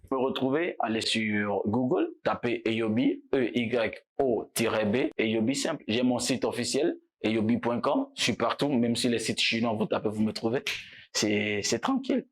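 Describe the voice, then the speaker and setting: Relaxed, halting Togolese-born man. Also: mid-range voice. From Togo. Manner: relaxed, halting, repeats words. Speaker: musician guest. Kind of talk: casual interview.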